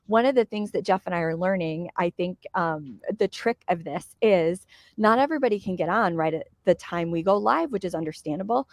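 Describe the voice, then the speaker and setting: This is a hoarse woman in her forties, her voice roughened by a cold, pitched slightly low and recorded very dry and close. She talks in a loose, chatty way, full of fillers and small laughs, while co-hosting a live political chat from outdoors. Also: expressive, brisk, no echo. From Michigan. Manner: chatty, laughs between phrases, many fillers. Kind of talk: casual live-stream chat.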